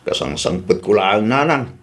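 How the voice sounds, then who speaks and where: A man's voice: on the low side, sing-song, normal speed. A man playing an arrogant, bitter young ex-boyfriend with an Ilocano accent in a radio comedy drama. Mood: defensive.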